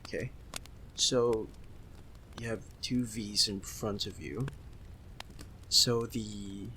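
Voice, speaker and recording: A man's voice: on the low side, slight background noise, full character voice. A man playing a giddy, homesick young friend in an ASMR roleplay, chatting casually with a giggly, hesitant manner. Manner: giggly, hesitant, softly excitable. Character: giddy, homesick young male Filipino friend, sweet and apologetic. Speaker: audio roleplay performer.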